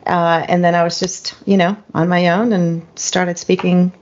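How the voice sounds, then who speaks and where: Woman, middle-aged, from Spain, French first language, singer guest, lowish voice, relaxed reflective chat, muffled lo-fi sound. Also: normal speed. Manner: relaxed and reflective, frequent ums and you-knows. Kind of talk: casual interview chat. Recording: dull lo-fi recording.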